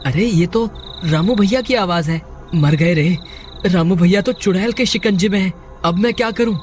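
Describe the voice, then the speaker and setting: A high-pitched, earnest young-hero type acted by a man from a cartoon village tale. He speaks with dramatic, anxious urgency and devout feeling. Music plays behind the voice. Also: moderate pace, natural voice. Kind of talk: Hindi cartoon story acting.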